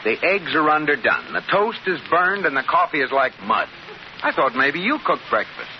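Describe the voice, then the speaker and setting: Grouchy, teasing husband for vintage radio comedy. Lively inflection, dry quips and complaints. Dull, noisy old recording. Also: male voice, on the high side, moderate pace. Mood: grumbling. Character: grumbling, wisecracking, teasing husband. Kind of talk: 1940s-50s radio sitcom acting.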